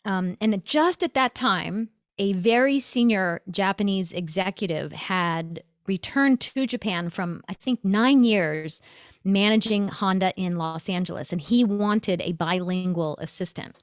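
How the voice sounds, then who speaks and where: Lively middle-aged woman, dry close sound. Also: medium pitch, measured pace, dry recording. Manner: animated, laughs easily. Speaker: guest, consultant and author. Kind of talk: storytelling interview answers.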